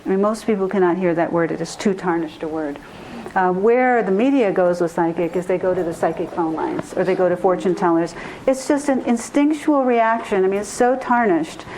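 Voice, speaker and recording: A woman's voice: on the low side, fairly quick, a little mellow, slight background noise, rough recording. A woman giving an informal spiritual talk as a therapist and teacher, speaking earnestly and repeating phrases for emphasis.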